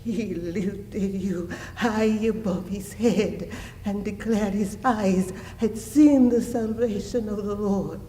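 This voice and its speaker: Woman doing a grieving mother in a stage monologue, solemn n emotional, super slow with long breathy pauses, mellow voice. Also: medium pitch, steady tone, slight background noise, close to an everyday voice. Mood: tender, hushed reverence.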